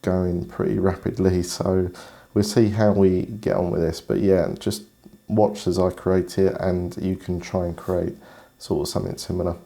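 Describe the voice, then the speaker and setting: A man with a cold, hoarse, talking through an art tutorial in a relaxed, casual way. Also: deep voice, normal speed. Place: indoors at a desk.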